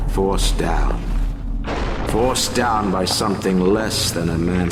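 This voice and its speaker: An actor playing a proud, bitter older tycoon who rules his city, speaking in grandiose, deliberate oratory for a video game. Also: low-pitched, full character voice. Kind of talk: theatrical dramatic video game monologue. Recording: loud music and effects behind voice. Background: loud dramatic game music.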